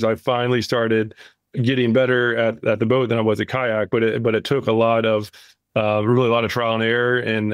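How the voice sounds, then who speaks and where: A man's voice: medium pitch, no room sound. A man co-hosting a podcast, talking casually and anecdotally, often repeating words as he explains. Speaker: podcast co-host.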